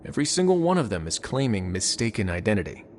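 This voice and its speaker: A man voicing a composed, authoritative prison administrator in video game dialogue, measured and formal. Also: lowish voice, very animated, darkish tone, a little hiss or hum. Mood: serious, explanatory.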